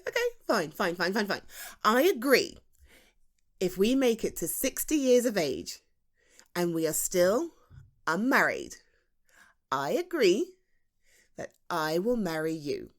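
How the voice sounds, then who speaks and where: A woman acting as a British female best friend in an intimate audio roleplay. She is playful, teasing and affectionate, with very animated pitch and an unhurried pace. Her delivery is natural and halting, with a giggly edge. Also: full character voice. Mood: giggly, excited. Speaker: ASMR roleplay voice performer.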